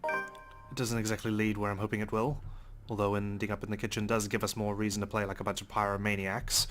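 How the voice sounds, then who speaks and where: A man's voice: on the low side, level tone, fairly quick, a bit bright, soft background music. A man reading visual novel text aloud as dramatic first-person narration. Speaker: let's play host reading game text aloud.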